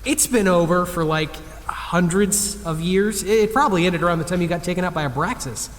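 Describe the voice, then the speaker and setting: A man's voice: high-pitched, fairly quick, natural voice. An actor playing a nervous, awkward, honest man in an audio drama, hesitant and then blurting things out. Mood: nervous, confessing. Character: nervous, awkward, honest everyman, anxious to please. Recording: long echoey reverb, music underneath.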